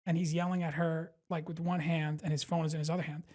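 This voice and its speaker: Husky new york guy rambling thru a crude funny story, lots of "like" and "you know". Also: a little high, fast. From Brooklyn, New York. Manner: animated, profane, rambling, full of fillers and self-mockery. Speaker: stand-up comedian guest. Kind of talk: casual storytelling in a podcast interview.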